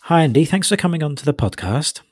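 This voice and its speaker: A man's voice: fairly high pitch, expressive, fast, very noisy. A man hosting a podcast, reading a prepared introduction. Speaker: podcast host.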